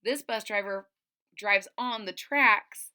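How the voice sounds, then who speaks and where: A woman's voice: medium pitch, slow, pushed and bright, clear, resonant tone. A middle-aged woman reading a picture book aloud to children, expressively, with explanatory asides and dramatic emphasis. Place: small indoor room at home.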